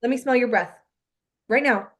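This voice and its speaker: A woman's voice: fairly high pitch, normal speed, fairly bright tone. A woman playing a hurt, stern young woman in a staged play reading, accusing and emphatic. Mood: betrayed, angry. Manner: emphatic, repeating herself, accusing.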